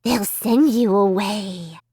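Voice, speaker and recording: Creepy living dummy guy with a raspy whisper, drags every word out, woman reading it, dry close sound. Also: medium pitch, mellow, no room sound. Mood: gloating. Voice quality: harsh whispery rasp. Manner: slow, menacing, gloating. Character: evil living ventriloquist dummy, male, cruel. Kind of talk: audiobook reading.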